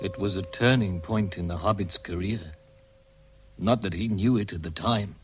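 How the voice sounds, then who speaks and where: A man giving measured, dramatic scripted narration of a fantasy tale. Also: low voice, close to an everyday voice. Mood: measured, hushed. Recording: music under the narration. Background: musical score.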